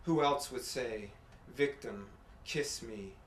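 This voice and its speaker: A man reading poetry aloud in a measured, deliberate way, with pauses between short phrases. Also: medium pitch. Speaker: poet reading own work. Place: apartment room at a live reading. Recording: echoey room, faint background noise.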